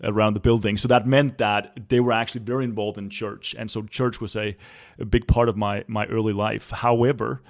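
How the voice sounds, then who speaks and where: A man's voice: lowish voice, flat delivery, fairly quick. A man from Denmark with a Danish accent, a pastor telling his personal faith story in an earnest, reflective way, recorded in a home. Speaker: church planter and pastor. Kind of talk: personal testimony in an interview.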